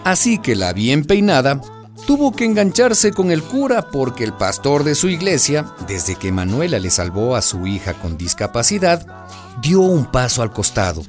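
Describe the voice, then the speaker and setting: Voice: medium pitch, sing-song, normal speed, natural voice. A performer playing a wry, folksy storyteller, narrating a radio drama in a playful manner. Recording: music behind the narration.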